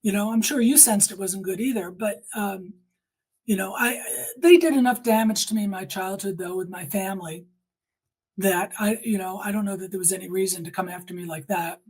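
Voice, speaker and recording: Older female interview guest, mid-pitched and husky, emphatic, telling her own story. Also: a little fast, a little mellow. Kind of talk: personal testimony in conversation.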